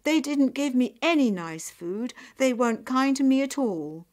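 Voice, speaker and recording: A woman's voice: extremely low pitch, sing-song, slow, vocal fry, a bit bright, voice pushed down, exaggerated voice. A woman voicing a rude, selfish young woman in a folk tale, demanding and complaining. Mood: bitter, complaining.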